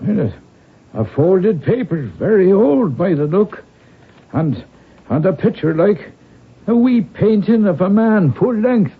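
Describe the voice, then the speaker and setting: An actor playing a loyal, anxious Irish manservant in a radio drama, raspy, deferential and hesitant, with an Irish accent. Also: male voice, high voice, animated, unhurried, exaggerated voice. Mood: puzzled. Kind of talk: old-time radio drama acting. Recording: muffled, narrow-band vintage radio recording.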